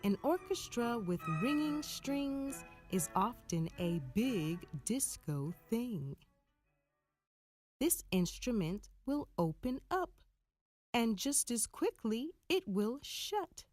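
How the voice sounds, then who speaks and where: Slow, animated woman reading to kids. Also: on the high side, dry recording, faint music behind. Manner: slow, deliberate, expressive storytelling. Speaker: picture book narrator. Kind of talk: rhyming children's read-aloud.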